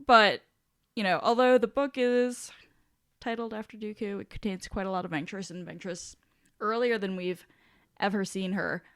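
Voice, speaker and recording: A woman's voice: mid-range voice, fairly even intonation, moderate pace, clean tone. A woman co-hosting a podcast in casual chat, wry, sweary and a bit rambling. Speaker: podcast co-host.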